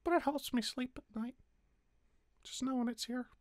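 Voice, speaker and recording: Woman acting a high-voiced bitter little flower. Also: steady tone, moderate pace, darkish tone, dry recording, natural voice. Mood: wistful, sad. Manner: wounded, hesitant, weary. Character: bitter, despairing, forgotten little flower creature. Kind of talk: dramatic roleplay acting.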